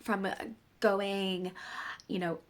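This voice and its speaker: A woman in her thirties, a bit bunged up with a cold, chatting casually and rambling gently about parenting in an unplanned vlog. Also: medium pitch, level tone, slow. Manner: rambling, gentle, warm-hearted musing. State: congested from a cold. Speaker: parenting vlogger. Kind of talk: casual unscripted talk to camera.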